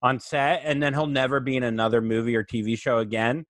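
A man's voice: medium pitch, flat delivery, fast talker, a bit bright, no echo. A man chatting casually as a comedy podcast host, loose, rambling and jokey.

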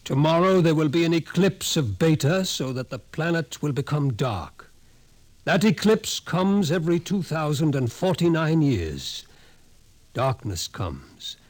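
A calm, ominous old professor. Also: male voice, on the high side. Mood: explaining. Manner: measured, lecturing, quietly ominous. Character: older male psychologist, calm, knowing, ominous. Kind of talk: 1950s radio drama acting.

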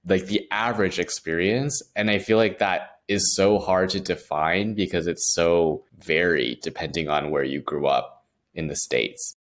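A man's voice: low voice, unhurried. A man co-hosting a podcast who asks curious follow-up questions in casual conversation. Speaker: podcast co-host. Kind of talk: casual interview chat.

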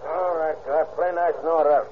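An actor playing a slangy, rough-talking man in an old-time radio crime drama, heard on a tinny vintage recording. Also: on the high side, fairly even intonation, normal speed, slight background noise, close to an everyday voice. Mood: menacing. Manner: slangy, folksy tough-guy speech.

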